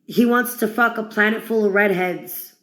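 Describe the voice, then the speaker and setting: A woman's voice: medium pitch, moderate pace, fairly bright tone, roomy sound. A woman delivering a dramatic, mocking monologue to one listener in a crude, theatrical, taunting way. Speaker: performer of villain monologues.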